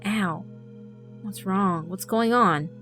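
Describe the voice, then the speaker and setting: A woman's voice: medium pitch, slow, close to an everyday voice. A woman voicing a frightened young man who stammers and sounds jumpy. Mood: alarmed. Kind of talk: audiobook character voice. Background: music.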